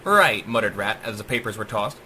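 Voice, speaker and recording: Male narrator, theatrical verse delivery, lively pitch swings, bright forceful tone, faintly noisy recording. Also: a little fast. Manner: theatrical, sing-song verse cadence. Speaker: author reading own story. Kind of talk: dramatic rhymed audiobook narration.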